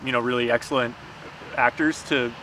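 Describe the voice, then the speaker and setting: A man's voice: medium pitch, steady tone, moderate pace, bright, forceful tone, slight background noise, poor audio. A man chatting casually and agreeably as a guest on a vlog. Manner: conversational, agreeable. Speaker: guest co-writer. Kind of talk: casual chat.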